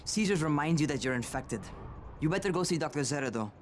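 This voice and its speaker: Actor as brash young survivor, higher-pitched man. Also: lively intonation, fairly quick, slight background noise, close to an everyday voice. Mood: casual, advising. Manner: foul-mouthed, informal. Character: brash young male survivor, parkour trainer. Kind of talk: video game voice acting.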